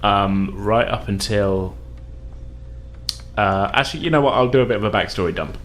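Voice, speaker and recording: Low-voiced man, hesitant tabletop gamer. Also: normal speed, fairly bright tone, slight background noise. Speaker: player in a tabletop role-playing game. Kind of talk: casual improvised storytelling at a game table.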